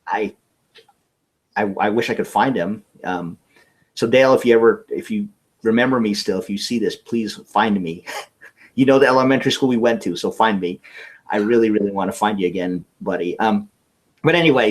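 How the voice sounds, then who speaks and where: Low-voiced earnest storyteller, man. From California. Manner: earnest, often restarts words while telling a story. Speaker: interview guest. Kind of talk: personal storytelling in conversation.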